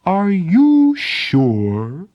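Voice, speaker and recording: A man voicing a solemn spirit of a mountain in a storybook tale, speaking in a drawn-out, deliberate way. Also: high-pitched, wildly swooping pitch, muffled recording, pitched above the performer's own voice, heavily acted. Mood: solemn, warning. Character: slow, solemn mountain spirit granting wishes.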